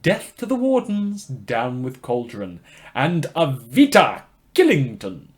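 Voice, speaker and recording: A man's voice: mid-range voice, wildly swooping pitch, slow pace. Guy doing a creepy polite radio host for a fiction podcast, wordy n theatrical, fake apologetic, voice goes up and down loads. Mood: ominous sign-off. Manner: theatrical, verbose, genteel, mock-apologetic. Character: polite, murderous, inhuman male radio host, darkly cheerful. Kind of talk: scripted fiction podcast monologue as radio broadcast.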